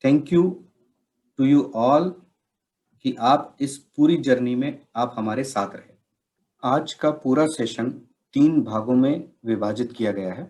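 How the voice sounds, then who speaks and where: A man giving a scripted instructional presentation as a session presenter, explaining things in measured, separate phrases. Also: mid-range voice, a little mellow, poor audio. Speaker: presenter of a software training session.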